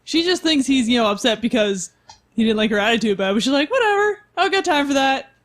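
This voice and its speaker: A woman's voice: high-pitched, animated, quick pace. A woman chatting casually and playfully as a player in a tabletop game. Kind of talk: casual chat.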